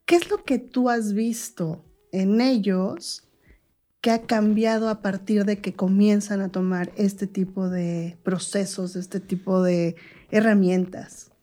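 A woman hosting a casual interview, attentive and quick to agree. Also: mid-range voice, fairly even intonation, dark tone. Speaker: interviewer, radio host.

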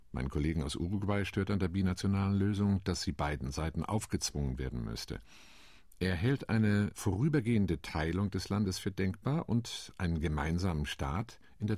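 An actor playing a middle-aged male UN commission delegate, recounting the committee's debates in a measured radio play monologue. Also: low-pitched, darkish tone, no echo, studio quality. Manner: measured, reporting. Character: sober male diplomat recounting committee talks.

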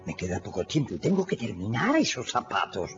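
A distraught old doctor, wildly swooping pitch. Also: male voice, very animated, a little fast, muffled recording, music in the background. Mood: frantic confusion. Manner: agitated, bewildered. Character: distraught old doctor, confused and broken, pleading. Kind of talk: Spanish-language radio drama acting.

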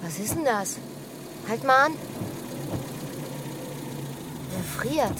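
A woman's voice: on the high side, animated, a little slow, over music. An actress playing a wistful, stubborn middle-aged woman in a German radio drama, speaking in terse, understated lines. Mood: insistent, subdued. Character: wistful, stubborn woman in love, former teacher, fifteen years older.

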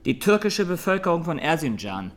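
An actor playing a young Armenian massacre survivor giving halting, sombre testimony in a radio drama. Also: male voice, a little high, sing-song. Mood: subdued, grave.

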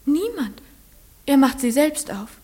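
High-voiced timid little schoolgirl. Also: sing-song, slow, a little mellow, reverberant, heavily acted. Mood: timid, pleading. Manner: timid, brief, earnest replies. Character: shy, earnest little village schoolgirl. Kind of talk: German radio play acting.

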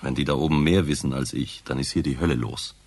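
A man's voice: a bass voice, fast, a little mellow. A man playing a nervous, disdainful senior town official in a radio crime drama, brusque and dismissive.

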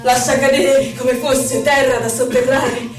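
Lady doing theatrical poetry, solemn and measured, echoey room with music clearly behind her. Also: sits high, level tone. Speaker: actress reciting poetry. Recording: echoey, distant stage recording with music. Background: prominent violin music.

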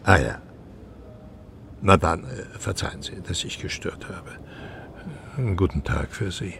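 An actor playing a courtly, probing East German professor who talks in a formal, insinuating way in a radio drama. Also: male voice, sits low, a little slow, creaky, a bit bright, slight background noise, full character voice. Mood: politely withdrawing.

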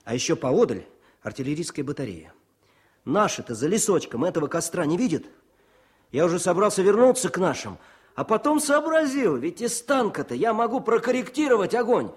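A young frontline poet in a radio war drama. His highish, husky voice swings widely in pitch, eager and expressive, with a modest, cheerful temper. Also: a little fast, heavily acted. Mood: animated storytelling. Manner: expressive, eager, declaims verse. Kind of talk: Soviet radio drama acting.

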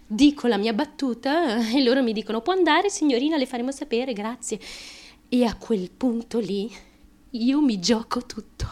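An actress playing a desperate, naive young woman chasing fame in a theatrical radio monologue, confiding in a halting, obsessively repetitive way. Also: a little high, darkish tone, lightly acted. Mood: quietly determined. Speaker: stage actress.

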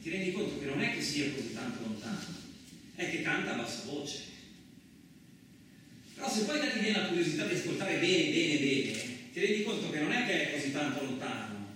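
A man's voice: fairly high pitch, sharp tone. A man playing a boastful, hot-tempered truck driver, in a colloquial theatrical radio monologue full of muttered asides. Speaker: actor. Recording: very echoey, distant, lightly noisy room.

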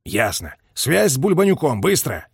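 A man's voice: medium pitch, very animated, normal speed, gravelly, slightly rough, dry recording, clean studio sound, full character voice. A man voicing a harsh, impatient Soviet colonel in battle, barking clipped commands in a war audiobook. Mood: curt, commanding. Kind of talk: audiobook character voice, war novel.

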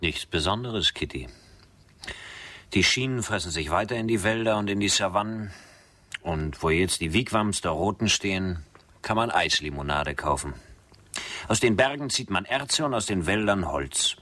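Low, forceful railway man. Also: animated, moderate pace, no room sound, exaggerated voice. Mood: cool, assured. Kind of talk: radio drama acting.

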